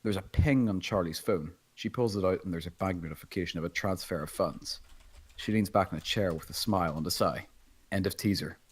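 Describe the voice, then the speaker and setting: A man with a husky voice reading screenplay stage directions as scripted narration. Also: low-pitched, a little fast. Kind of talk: scripted narration at table read.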